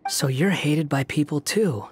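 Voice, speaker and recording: A man's voice: on the high side, measured pace, slight background noise, close to an everyday voice. A man voicing an aloof, cynical young wanderer in a video game, with a dry, detached, sardonic delivery. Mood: quiet, sardonic.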